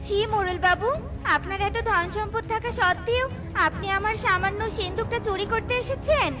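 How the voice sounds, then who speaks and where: Plaintive, very high mother bird played by a woman. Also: fairly quick, over music. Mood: shocked, reproachful. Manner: plaintive, lamenting. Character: worried, tearful mother tailorbird, devoted wife. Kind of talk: melodramatic children's cartoon acting.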